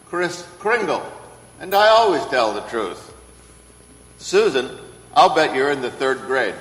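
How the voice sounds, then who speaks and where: A man acts a kindly old gentleman Santa in a classic radio play. He speaks gently and earnestly at a middle pitch, in a reverberant hall. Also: sing-song, measured pace. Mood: earnest, cheerful. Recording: echoey hall, distant reverberant stage sound.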